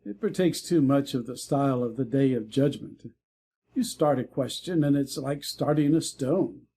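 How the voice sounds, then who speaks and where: A man playing a frank, worldly young Victorian gentleman in a dramatic audiobook, speaking in measured, formal phrases. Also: medium pitch, breathy edge. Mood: dry, conversational.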